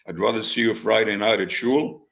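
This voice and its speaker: A man in his eighties from Israel, a retired rabbi, speaking informally and deliberately to an online group, with storytelling pauses and repeated phrases. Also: on the low side, fairly even intonation, vocal fry, fairly bright tone. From Israel, born in Haifa under British Mandate Palestine. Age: 80s. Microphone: video call microphone. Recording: dull, lo-fi video call audio.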